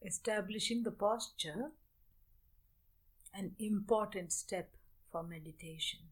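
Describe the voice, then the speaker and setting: A woman teaches a guided meditation at a very unhurried pace. Her voice sits at a medium pitch. She gives measured instructions in short phrases, leaving long pauses between them. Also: husky, low-quality recording.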